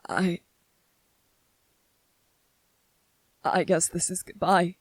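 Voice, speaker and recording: A performer playing a gentle young male soldier in an intimate ASMR roleplay, speaking tenderly and haltingly with vulnerable, earnest emotion. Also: mid-range voice, level tone, no echo, lightly acted. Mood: sad, resigned.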